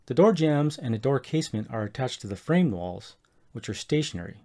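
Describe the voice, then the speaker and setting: A middle-aged man calmly reading a scripted, methodical voice-over that explains his home project step by step. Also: mid-range voice, a little fast. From Colorado, USA. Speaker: homeowner explaining a DIY project.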